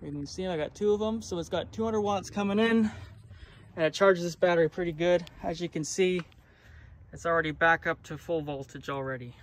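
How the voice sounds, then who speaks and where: A man's voice: high voice, a little fast. A man giving a casual, enthusiastic walkthrough as a hobby vlogger, speaking informally while showing his gear. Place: in and around a truck. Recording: clear vehicle and ambient noise.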